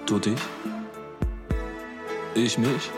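An actor playing a pleading man in a radio play, speaking in halting, urgent fragments. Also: mid-range voice, fairly even intonation. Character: pleading man urging someone out of the woods. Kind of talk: slow dramatic radio play acting. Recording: music under the voice.

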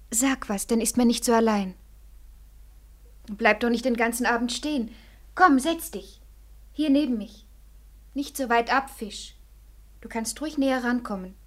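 A woman's voice: fairly high pitch, moderate pace, long pauses, lightly acted. An actress playing a lonely, tender young woman in a 1940s radio play, coaxing and pleading, often sinking into a whisper. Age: young adult.

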